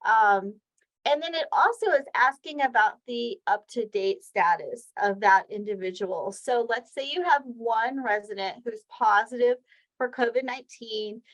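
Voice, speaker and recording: A woman's voice: fairly high pitch, unhurried, fairly bright tone, low-quality recording. A woman presenting an educational webinar, explaining slides step by step in a conversational way with examples. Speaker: presenter of a weekly healthcare training session.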